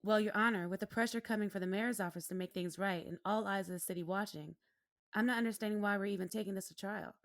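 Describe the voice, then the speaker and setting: A man voicing a brisk, condescending female prosecutor. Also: sits high, steady tone, fairly bright tone, no echo, studio quality, voice pushed up. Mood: dismissive. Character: brisk, dismissive woman prosecutor. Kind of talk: audio drama acting.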